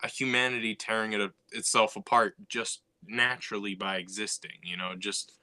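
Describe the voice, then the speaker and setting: A man's voice: on the low side, steady tone, moderate pace, bright, forceful tone, no room sound. A man talking casually and thoughtfully about storytelling, reflecting aloud with frequent "you know" and "like". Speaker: writer and co-host. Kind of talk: casual podcast chat.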